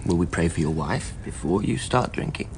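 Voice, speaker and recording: An actor playing a sombre, fanatical religious doomsayer who speaks in terse, preaching phrases in a radio drama. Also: male voice, sits low, expressive, moderate pace, gravelly, heavy background noise. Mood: bleak. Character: grim fanatical male doomsaying religious zealot.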